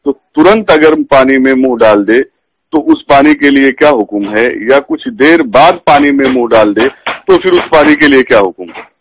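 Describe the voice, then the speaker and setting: A man's voice: mid-range voice, animated, moderate pace, slightly rough. A man calling by phone to question a religious scholar, pressing his points in a formally polite but challenging way. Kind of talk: phone conversation, religious debate. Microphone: telephone. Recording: phone call recording.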